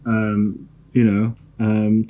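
A man's voice: fairly low pitch, completely flat delivery, extremely slow, dark tone, low-quality recording. A man, co-hosting a casual podcast, rambling and swearing freely between laughs. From Liverpool, England. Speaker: podcast co-host. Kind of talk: casual banter.

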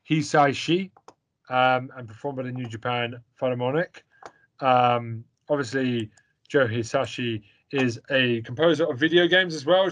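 A man's voice: medium pitch, fairly bright tone. A young man co-hosting a podcast, chatting about a film in an opinionated, rambling way with lots of fillers and repeated words. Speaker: podcast co-host. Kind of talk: casual chat reviewing a film.

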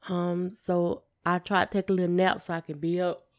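A woman's voice: on the low side, little pitch movement, normal speed, darkish tone. A woman co-hosting a podcast in a casual, conversational style. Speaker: podcast co-host.

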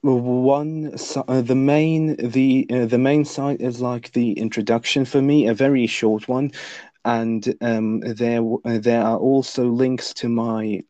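A man's voice: medium pitch, steady tone, slow pace, mellow, no echo, dull audio. A young man whose first language is Lithuanian, a guest author on a podcast, who answers hesitantly with many fillers and restarts. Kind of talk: podcast interview.

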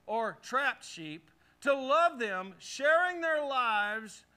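A middle-aged male pastor preaching a sermon in a church, leading the congregation in call-and-response with animated, forceful delivery. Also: extremely high pitch. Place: church sanctuary. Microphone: handheld or headset mic.

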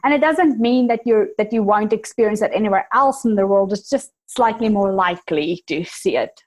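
A woman's voice: mid-range voice, moderate pace. Chatty woman, South African accent. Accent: South African with New Zealand influence. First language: Afrikaans. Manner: chatty and candid, laughs at her own jokes. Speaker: podcast guest. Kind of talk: casual conversational storytelling.

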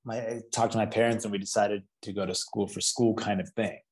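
A man in his thirties from Canada and Florida, speaking as a founder and podcast guest, in a relaxed conversational way with hesitant restarts. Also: on the low side, a little fast, breathy edge. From Canada and Florida, USA. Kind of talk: podcast interview.

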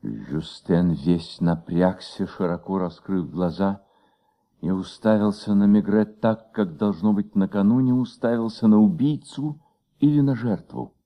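Radio play narrator: man with a low, very mellow voice, delivering scripted literary prose. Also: unhurried.

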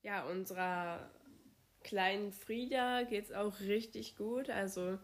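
A woman's voice: mid-range voice, slow. Young german woman with a cold, voice strained, chatty solo podcast host, lots of ums. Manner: chatty, self-deprecating, many fillers. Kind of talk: casual solo podcast chat.

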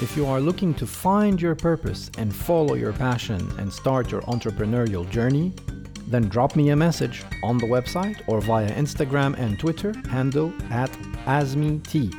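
A man's voice: mid-range voice, lively intonation, measured pace, over music. A man hosting a podcast, reading a scripted intro and then interviewing his guest with enthusiasm. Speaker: podcast host and coach.

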